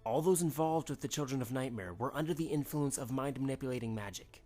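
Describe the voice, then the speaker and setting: A man playing a dutiful, defensive pony prince and guard, formal and measured, in a fan audio drama. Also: mid-range voice, mellow, soft background music, natural voice.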